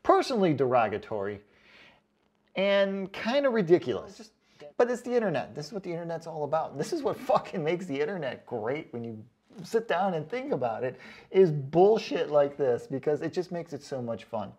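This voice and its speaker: A man's voice: a little high, sing-song, normal speed, darkish tone, husky. A man in a casual comedic rant, reading out comments as an online host, sarcastic, mocking and freely swearing, with emphatic delivery. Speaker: online boot review video host.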